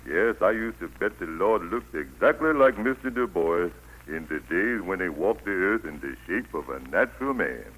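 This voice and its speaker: An actor playing a kindly elderly preacher with a Southern US accent, telling stories in a folksy, patient way in 1940s radio style. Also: male voice, on the low side, fairly bright tone, slightly rough, exaggerated voice, poor audio. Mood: musing, storytelling. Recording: old 1940s radio broadcast, music behind.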